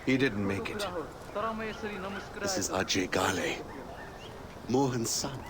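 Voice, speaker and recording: An actor playing an earnest male rebel leader in a video game cutscene, intense and brotherly, swinging between hushed and shouted lines. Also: fairly low pitch, sing-song, takes it slowly, a loud crowd. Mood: firm.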